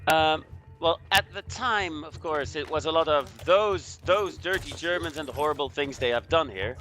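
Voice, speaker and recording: Halting Belgian man, bright voice. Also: a little high. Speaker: guest co-commentator. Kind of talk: casual explanatory chat over gameplay.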